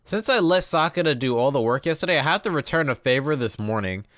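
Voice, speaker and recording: Man reading game text aloud, lively pitch. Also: fast talker, dry recording, studio quality. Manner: informal, reacts to the text he reads. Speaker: gameplay video host. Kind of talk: reading visual novel text aloud with casual asides.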